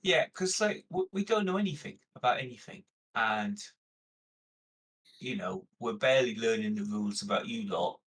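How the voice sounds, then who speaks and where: A man's voice: lowish voice, a bit bright, rough recording. A man playing a tabletop game, talking in an informal, plain-spoken way. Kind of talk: casual in-character roleplay chat.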